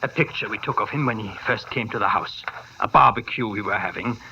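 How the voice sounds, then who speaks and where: An actor playing a worried, earnest older doctor, hesitant and pleading, in a 1950s radio drama. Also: male voice, fairly low pitch, a little fast, creaky, sharp tone, full character voice. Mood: uneasy. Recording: muffled, noisy vintage radio broadcast.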